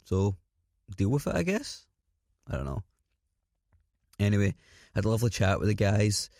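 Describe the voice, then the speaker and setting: A man's voice: fairly low pitch, big pitch swings, moderate pace, darkish tone, no echo, studio quality. Scratchy-voiced man hosting a podcast. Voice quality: scratchy, slightly raspy. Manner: informal, self-deprecating, sweary, rambling. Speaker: podcast host. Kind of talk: casual podcast introduction.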